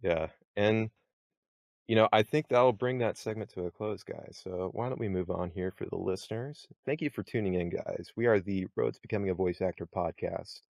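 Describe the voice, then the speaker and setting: A man hosting a voice acting podcast, talking in a relaxed, chatty way and laughing often. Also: sits low, moderate pace, gravelly, dry recording, studio quality. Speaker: podcast host. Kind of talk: casual podcast chat.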